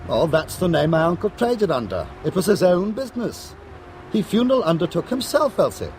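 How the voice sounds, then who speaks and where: An actor playing a wry, cool-headed criminal courier in a radio drama, speaking in a conversational way. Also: male voice, high-pitched, big pitch swings, a little fast, a little mellow, a little hiss or hum. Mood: puzzled, insistent. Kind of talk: radio drama acting, anecdotal dialogue.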